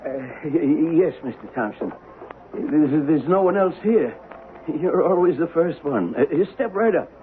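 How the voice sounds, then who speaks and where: An actor playing a nervous Old West barber in a radio drama, hesitant and deferential, prone to stammering. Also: male voice, fairly high pitch, animated, measured pace. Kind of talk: 1970s radio drama acting. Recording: tinny vintage radio recording with hiss.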